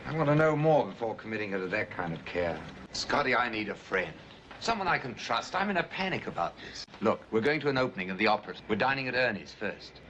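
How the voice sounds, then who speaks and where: An actor playing a worried, polished businessman husband in a 1950s film drama, telling his story gravely and with measured phrasing. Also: on the low side, very animated, fairly bright tone, dull audio. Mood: troubled, urgent. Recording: old film soundtrack, faint background noise.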